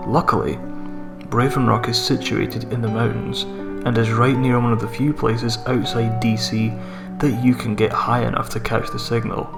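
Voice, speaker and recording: A man narrating a scripted horror story in a measured, deliberate way, as a creepypasta narrator. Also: mid-range voice, flat delivery, creaky. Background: background music.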